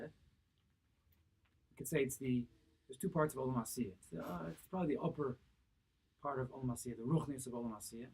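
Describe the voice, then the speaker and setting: A man with a husky, unpolished voice at a middling pitch teaches a religious text as a rabbi would. He explains it phrase by phrase, posing short questions to his listeners and answering them himself. Key words come back again for emphasis. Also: rough recording. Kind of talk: Torah class, explaining a text. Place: small synagogue study room, seated at a table. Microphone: camera a few feet away across the table.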